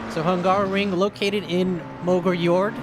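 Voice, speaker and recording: A man's voice: high voice, level tone, normal speed. Chatty American man calling a sim race. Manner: casual, chatty, loose. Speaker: race commentator. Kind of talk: sim racing commentary. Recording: loud game engine noise behind.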